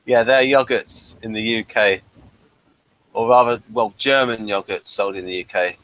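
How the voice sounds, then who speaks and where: A man in casual, unscripted review talk, explaining things in a halting way. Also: lowish voice, a bit bright, low-quality recording.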